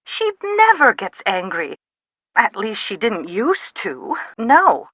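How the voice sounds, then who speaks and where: A woman playing an anxious, sharp-tongued middle-aged mother in a video game, with a dry, dramatic manner. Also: fairly high pitch, huge pitch swings, takes it slowly, no echo, full character voice. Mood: wry, concerned. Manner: exasperated, dramatic, dry wit. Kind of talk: video game dialogue, phone conversation acting.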